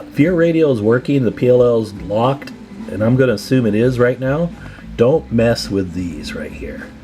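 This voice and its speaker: A man's voice: lowish voice, dark tone, a little hiss or hum. A man presenting a hands-on repair tutorial in a casual, plain-spoken, advising way.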